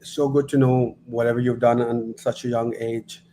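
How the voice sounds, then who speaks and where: Even-toned Pakistani man, faint noise behind. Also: mid-range voice, normal speed, low-quality recording. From Lahore, Pakistan. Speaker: guest acting as interviewer. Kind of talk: casual impromptu interview.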